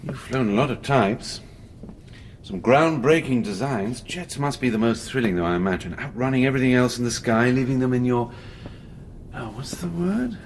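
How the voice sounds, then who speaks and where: An actor playing an urbane, wry British army major with an English accent in a radio drama. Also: male voice, mid-range voice, animated, moderate pace, slight background noise, lightly acted. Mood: smooth probing. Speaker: army officer.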